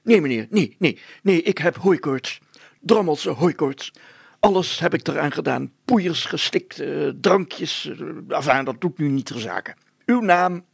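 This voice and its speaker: A man voicing a short, fat, pompous village mayor, gruff, stammering and suspicious, congested with hay fever, for a storybook. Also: on the high side, expressive, a little slow, no room sound, pitched above the performer's own voice, full character voice. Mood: flustered. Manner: gruff, stammering, self-important.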